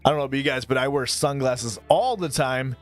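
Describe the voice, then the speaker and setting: A man's voice: medium pitch, fairly quick, soft background music. A man in his mid-thirties chatting casually on a live stream, rambling between topics and thanking viewers by name. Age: 30s.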